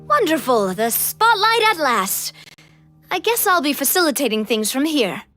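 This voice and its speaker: A woman voicing a bubbly young leader in a game, playful and quick to laugh. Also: sits high, faint music behind, full character voice. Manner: lively, playful, laughs often.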